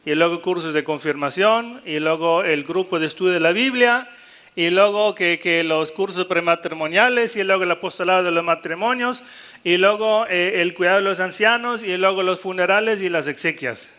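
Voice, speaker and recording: High-pitched, bright-voiced male priest preaching. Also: moderate pace. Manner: jokey and animated, mimicking doubtful priests, rallying listeners. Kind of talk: live sermon-style talk to a gathered congregation.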